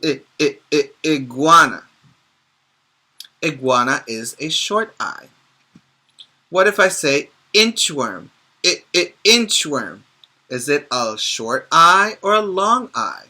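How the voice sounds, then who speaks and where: A male teacher with a very bright voice reads a storybook aloud to preschoolers, pausing to explain and to ask the children questions. He talks very slowly and clearly, pitched slightly high, as if speaking to a virtual class. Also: lively intonation. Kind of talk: reading a picture book aloud and teaching letter sounds to small children. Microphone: home video recording for virtual class.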